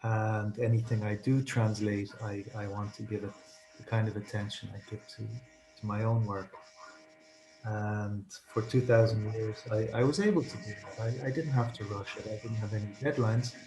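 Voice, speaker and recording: A man, a translator and writer, answering interview questions in a thoughtful, halting way, often repeating words as he searches for them. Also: lowish voice, fairly even intonation, over music. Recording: echoey room.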